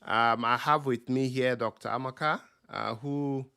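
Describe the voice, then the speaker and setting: A man with a Ghanaian accent hosting a live stream Q&A over a video call, talking slowly with many ums and uhs. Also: mid-range voice, creaky, clear, resonant tone, no room sound, clean studio sound. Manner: slow, relaxed with frequent ums and uhs. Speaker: host.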